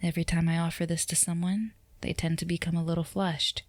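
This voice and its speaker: A woman playing a flirtatious, self-assured female boss in an intimate roleplay ASMR drama, speaking in a soft murmur that slips into whispers, teasing and commanding. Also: on the low side, flat delivery, brisk, dry recording, lightly acted. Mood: coaxing, flirtatious.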